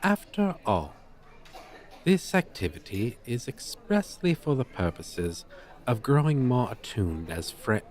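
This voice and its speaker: A man's voice: mid-range voice, big pitch swings, takes it slowly, darkish tone. A man playing a haughty, formal dragon teacher in an audio roleplay, with pompous, wordy, old-fashioned phrasing. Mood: flustered, formal. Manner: pompous, verbose, archaic formal diction. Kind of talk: ASMR roleplay, character acting.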